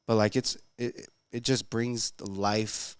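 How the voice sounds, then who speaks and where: A man's voice: on the low side, flat delivery, takes it slowly, smooth, no room sound. A man co-hosting a podcast, laid-back and rambling as he chats. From lives in Colorado. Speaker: podcast co-host and audio person. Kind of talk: casual podcast chat. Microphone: podcast studio mic.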